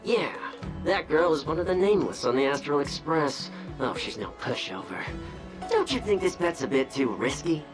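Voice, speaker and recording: A man's voice: on the high side, big pitch swings, moderate pace. Cautious corporate henchman over music. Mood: wary, obedient. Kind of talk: game voice acting.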